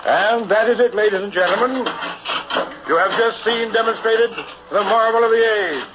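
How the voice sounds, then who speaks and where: A man's voice: sits high, normal speed, background noise, natural voice. A man playing a showy carnival professor in 1960s radio horror, with formal, theatrical phrasing. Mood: grandiose pitchman. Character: showy carnival professor, huckster of a buried-alive act. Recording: vintage dull radio recording, music behind.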